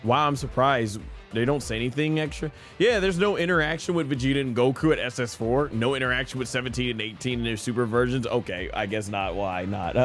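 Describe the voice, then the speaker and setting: A man hosts a casual gaming stream with a mid-pitched voice. He talks informally to his viewers and mutters through his choices, with faint music behind him. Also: a little fast. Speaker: gaming streamer and content creator. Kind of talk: casual live stream commentary, reading viewer chat.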